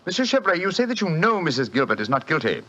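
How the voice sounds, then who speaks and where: An actor playing a shrewd, assured detective, crisp and lightly ironic, in a 1940s radio drama. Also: male voice, a little high, sing-song, racing, sharp tone. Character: brisk, shrewd British detective, sharp and assured. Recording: dull old radio broadcast.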